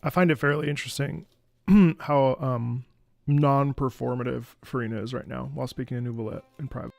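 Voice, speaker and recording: A man's voice: mid-range voice, fairly even intonation, fairly quick, creaky, dry recording. A man giving analytical live commentary on a video game and its music, as a reactor.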